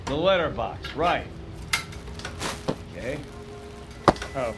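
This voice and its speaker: An actor playing a concerned, friendly neighborhood grocer, folksy, hesitant and earnest, in an old-time radio drama style. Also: male voice, mid-range voice, lively intonation, slow, music in the background. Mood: concerned, awkward.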